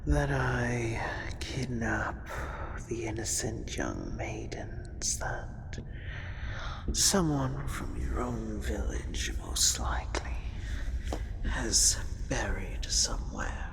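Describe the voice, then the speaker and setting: A woman voicing a gentle, curious dragon in a breathy, musing, halting whisper for a fantasy ASMR roleplay. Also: extremely low pitch, big pitch swings, heavily acted. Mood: questioning, wry. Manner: slow, musing, halting, with sighs. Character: gentle, curious dragon sheltering a human guest.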